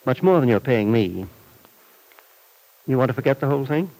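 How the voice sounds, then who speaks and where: An actor playing a shady, domineering fixer for hire in a vintage radio serial, sardonic and deliberately threatening. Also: male voice, mid-range voice, expressive, warm tone, natural voice, low-quality recording. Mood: cool menace. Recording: vintage radio drama recording.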